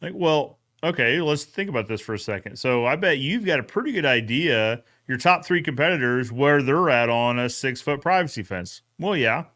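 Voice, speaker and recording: A man's voice: mid-range voice, expressive, moderate pace, few pauses, a bit bright. A man co-hosting a casual recap chat, often retelling conversations by quoting both sides. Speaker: podcast co-host.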